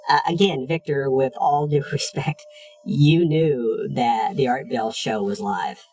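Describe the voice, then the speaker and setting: A man working as an interviewer, putting measured, politely challenging questions. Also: mid-range voice, a little mellow, soft background music, rough recording.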